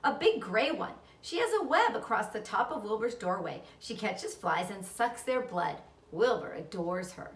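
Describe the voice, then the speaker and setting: A woman's voice: medium pitch, big pitch swings, moderate pace, hardly any pauses, reverberant, far from the mic, natural voice. A woman voicing a chatty, earnest young girl in a storybook, speaking eagerly and matter-of-factly.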